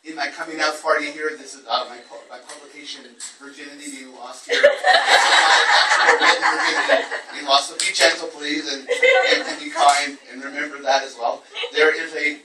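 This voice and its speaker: A man's voice: on the high side, big pitch swings, moderate pace, few pauses, over a noisy crowd. A man speaking as a poet at a live reading, moving between informal, wordy, self-deprecating remarks and his own verse. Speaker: poet reading own work at a book launch. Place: echoey room at a live event. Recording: roomy, distant event microphone.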